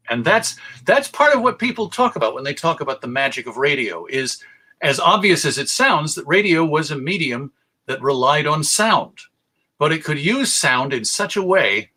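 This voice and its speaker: A man presenting a history lecture in a measured, deliberate way, pausing for emphasis. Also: on the high side, sharp tone.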